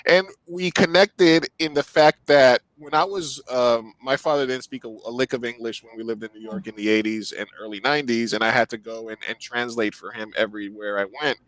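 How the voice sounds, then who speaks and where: A man's voice: medium pitch, fairly quick, few pauses, fairly bright tone, no echo, rough recording. A man in his forties hosting a casual interview podcast, rambling with many restarts and fillers. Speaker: podcast host.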